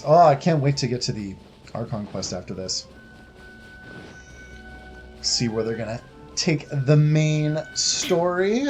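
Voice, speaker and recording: A man's voice: medium pitch, a little mellow, low-quality recording. A man hosting a gaming video, giving casual, easygoing commentary and reading dialogue choices aloud. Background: game music.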